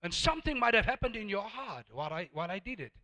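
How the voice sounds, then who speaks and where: A man with a South African accent and Afrikaans as his first language, preaching a sermon emphatically, repeating words for effect and swinging between hushed and loud. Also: sits high, big pitch swings, fast talker.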